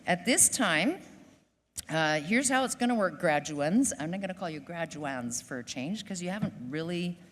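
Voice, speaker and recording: A woman hosting a graduation ceremony, making announcements in a relaxed, joking way on a stage mic. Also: fairly low pitch, normal speed. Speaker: ceremony host. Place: large theater hall. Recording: reverberant hall with faint noise.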